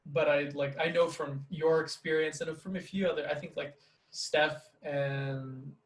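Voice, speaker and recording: A man's voice: fairly high pitch, steady tone, unhurried. Chatty man in an echoey room. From Canada. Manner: rambling, many fillers like "like". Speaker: podcast host, teacher. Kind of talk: casual conversation.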